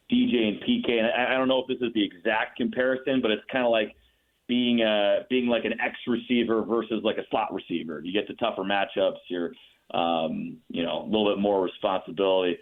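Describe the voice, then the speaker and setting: A man calling in as a guest, talking through stats in a chatty, fact-heavy way with lots of restarts. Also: fairly low pitch. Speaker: radio play-by-play announcer, guest. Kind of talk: casual radio interview. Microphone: phone line. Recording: tinny phone line.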